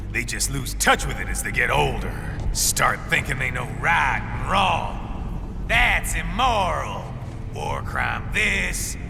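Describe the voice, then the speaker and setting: An actor playing a brash, cynical mercenary boss who profits from war, talking in a taunting way in a video game cutscene. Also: male voice, on the high side, creaky, exaggerated voice. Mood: gleeful menace. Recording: long hall reverb under loud music.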